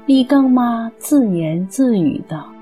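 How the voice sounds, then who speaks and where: A woman's voice: on the high side, expressive, slow pace, mellow, faint music behind. A woman narrating a radio drama from a script as its storyteller.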